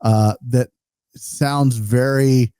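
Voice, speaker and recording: Mellow-voiced man co-hosting a podcast. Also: medium pitch, fairly even intonation, very slow, clean tone, no room sound, studio quality. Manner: questioning, thinking aloud, hesitant. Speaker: podcast co-host. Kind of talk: casual podcast conversation.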